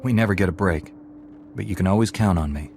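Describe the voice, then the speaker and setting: An actor playing an earnest young Jedi knight with a steady delivery. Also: male voice, deep voice, quick pace, gravelly, slight background noise. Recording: game audio with music under it.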